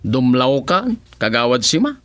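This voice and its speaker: A man acts out a cocky village councilman in a radio comedy-drama. His voice is bright, sharp and slow, with an Ilocano accent. He banters in teasing exclamations, very close and dry. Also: mid-range voice, big pitch swings, no echo, faint music behind. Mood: sly, amused.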